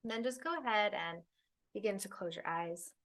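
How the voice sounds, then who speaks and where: A woman leading a guided meditation, speaking soothingly in unhurried phrases with long pauses. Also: mid-range voice, fairly bright tone. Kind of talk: guided meditation, spoken slowly to listeners.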